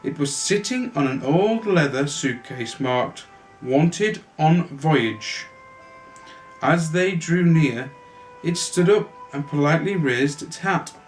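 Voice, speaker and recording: A man's voice: on the high side, expressive, measured pace, poor audio. A man narrating a children's storybook aloud as an amateur reader, now and then stumbling and correcting himself. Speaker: amateur storybook reader, a father reading for his family. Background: music.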